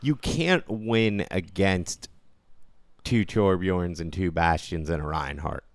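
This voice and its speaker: A man's voice: low-pitched, slow pace, dry recording, studio quality. A man co-hosting a podcast in casual gaming banter, swearing freely and speaking in stop-start phrases with trailing asides. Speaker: podcast co-host.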